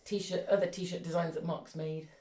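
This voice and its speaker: A woman with a fairly low voice chats casually as co-host of a live craft show. She is encouraging and appreciative. The sound is noticeably roomy and echoing. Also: little pitch movement, moderate pace, mellow. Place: indoor craft room. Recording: echoey room.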